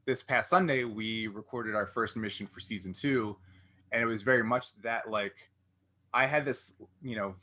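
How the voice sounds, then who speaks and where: A man's voice: lowish voice, normal speed, sharp tone. A man hosting a casual interview, thinking aloud through long, rambling questions. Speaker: podcast interviewer, game master.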